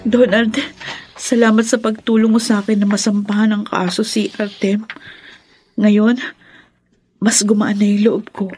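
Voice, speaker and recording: A woman's voice: medium pitch, level tone, a little slow, pauses often, darkish tone, slightly rough. A woman playing a wronged, emotional young woman in a Filipino radio drama. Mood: grateful, hopeful. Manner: emotional, pleading, melodramatic. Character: wronged, anguished young woman, tearful but defiant.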